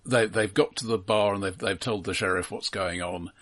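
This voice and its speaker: Low, husky man, halting and thoughtful. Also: steady tone, a bit bright. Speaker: film podcast host. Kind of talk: casual film discussion.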